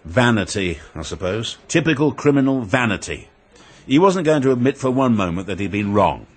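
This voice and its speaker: British investigator for radio mystery: dry, clipped, scornful, husky, with animated swings of pitch. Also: male voice, normal speed. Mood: musing, scornful. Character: matter-of-fact male investigator, wryly scornful of criminals. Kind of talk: British radio drama acting.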